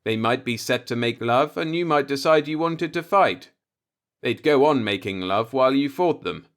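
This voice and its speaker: A man's voice: medium pitch, expressive, moderate pace, close to an everyday voice. A man reading the part of a brooding, disillusioned professor in an audiobook, musing in a pointed, rhetorical monologue. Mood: bleak musing. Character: brooding, disillusioned male professor philosophizing bitterly.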